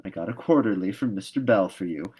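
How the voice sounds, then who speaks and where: A no-nonsense older woman from a storybook, as a man reads her aloud. She is stern and practical. She speaks in brisk, emphatic scoldings and clipped instructions. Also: low-pitched, lively intonation, darkish tone, husky. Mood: dismissive.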